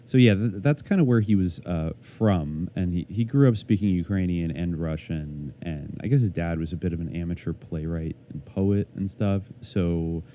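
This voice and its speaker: A man's voice: deep voice, moderate pace, few pauses, mellow, slight background noise. A man co-hosting a podcast, chatting casually and rambling with lots of "like" and "I guess". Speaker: podcast co-host. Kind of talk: casual chat.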